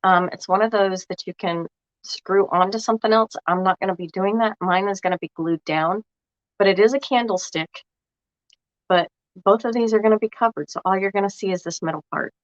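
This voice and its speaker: A woman's voice: medium pitch, a little fast, dry recording. A woman, sounding froggy from a cold, chatting casually and thinking aloud as she walks viewers through a craft project. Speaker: craft vlogger. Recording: dull lo-fi dry recording.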